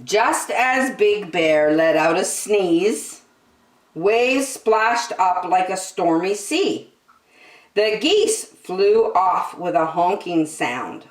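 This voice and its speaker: A woman reading a children's storybook aloud in an expressive, deliberate storytelling manner. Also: lowish voice. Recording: roomy, echoing sound.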